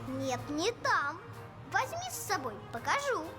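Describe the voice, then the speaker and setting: An extremely high little boy's voice for a cartoon. He is stubborn, cheeky and insistent, with lively swings in pitch. Loud music plays behind. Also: unhurried, full character voice. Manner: childish, insistent. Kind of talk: animated film voice acting.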